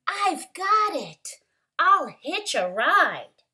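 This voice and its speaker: Lady reading as tiny girl snail from a picture book, bright n lifted voice, yearning for adventure. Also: very high-pitched, sing-song, drawn-out words, voice pushed up, exaggerated voice. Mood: excited. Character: tiny female sea snail, longing, eager for adventure.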